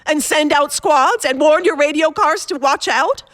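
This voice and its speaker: Fretful, high-pitched bedridden wife. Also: female voice, a bit bright, dry recording, studio quality. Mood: anxious pleading. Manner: fretful, imperious, theatrical, halting then insistent. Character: anxious, demanding bedridden wife, alone and frightened. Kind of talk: suspense radio play acting.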